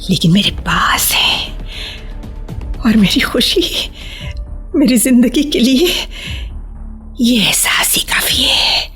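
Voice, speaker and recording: A high, slow-spoken radio drama heroine, reserved and full of secret yearning. She hesitates, repeats herself and lets emotion show, with music underneath. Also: expressive, a bit bright. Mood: yearning, confessional. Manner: hesitant, emotional, repeats phrases, drops into breathy whispers. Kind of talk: Hindi radio play acting.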